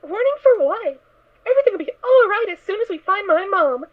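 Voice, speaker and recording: A woman voicing a cheerful, naive little pony filly in an audio drama, with a squeaky, childlike, excitable way of talking. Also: fairly quick. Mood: playful, stubborn. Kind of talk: fan audio drama character acting. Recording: thin, phone-like narrow sound.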